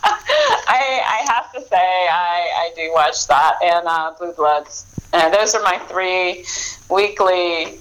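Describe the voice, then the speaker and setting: A woman's voice: on the low side, very animated, slow pace, a bit bright, rough recording. A woman in her forties, an author guest, talking in a rambling, easygoing way with many restarts. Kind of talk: casual interview chat.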